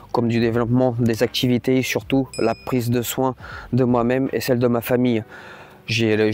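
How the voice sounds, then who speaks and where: A man's voice: mid-range voice, darkish tone. A man whose native language is Portuguese, talking in a casual, unscripted vlog in a rambling, blunt and colloquial way. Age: adult, parent. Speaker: YouTuber, host.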